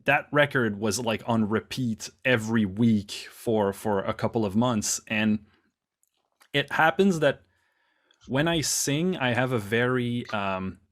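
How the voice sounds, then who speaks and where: A man from Canada speaks over a video call as an interview guest, in a middle-range voice. He talks quickly and restarts his phrases often, with a loose, self-deprecating ease. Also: mid-range voice, lively intonation, a bit bright. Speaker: musician guest. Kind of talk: casual interview chat.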